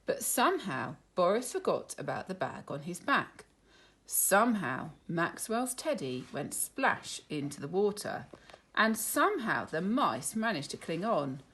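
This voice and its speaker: A woman reading a children's story aloud in an expressive, deliberate teacherly way. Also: fairly low pitch, slightly rough. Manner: animated, deliberate.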